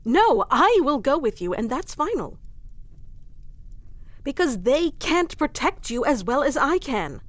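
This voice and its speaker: A woman's voice: high-pitched, big pitch swings, unhurried, no room sound. A woman playing a proud, protective warrior queen in an intimate fantasy audio roleplay, speaking with formal, wry, commanding diction. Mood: alarmed, insistent.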